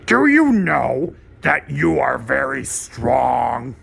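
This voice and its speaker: A man voicing a lovable, easily frightened furry monster in a children's storybook puppet show, with a raspy voice and melodramatic delivery. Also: medium pitch, big pitch swings, fairly bright tone, exaggerated voice. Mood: determined, exasperated. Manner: melodramatic, drawn-out, emphatic delivery without contractions.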